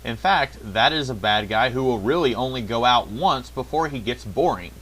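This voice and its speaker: A man's voice: mid-range voice, animated, moderate pace, a bit bright, poor audio. A man narrating a scripted review, with wry, sarcastic asides. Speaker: video reviewer.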